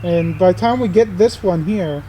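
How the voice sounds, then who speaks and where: A man's voice: high voice, moderate pace, dark tone, a little hiss or hum, poor audio. A man in his late twenties chatting casually as a vlog host. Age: late 20s. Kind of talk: casual chat.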